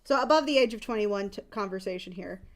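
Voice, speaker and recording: A woman's voice: mid-range voice, quick pace. A young adult woman streamer chatting casually, rambling and candid with frequent restarts. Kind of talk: casual chat.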